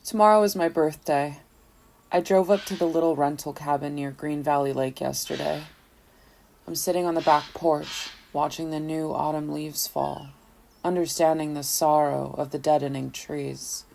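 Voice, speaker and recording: An actress playing a guilt-ridden, lonely woman, narrating a horror audio play in a somber, confessional, measured way. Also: deep voice, fairly even intonation, gravelly, warm tone, slight background noise. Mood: mournful, determined.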